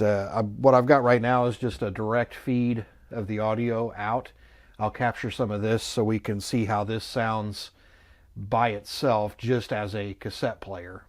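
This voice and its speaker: A man's voice: fairly low pitch, steady tone, unhurried, dry recording, studio quality. A man giving a casual, unscripted product review, thinking aloud in a musing, hesitant and mildly skeptical way. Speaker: host of an electronics review channel.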